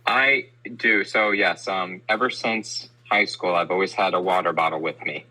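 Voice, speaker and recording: A man's voice: deep voice, fairly even intonation, normal speed, bright, forceful tone, poor audio. An American man from the Midwest chatting casually as a guest on a podcast. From St. Louis, Midwestern United States. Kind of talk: casual chat.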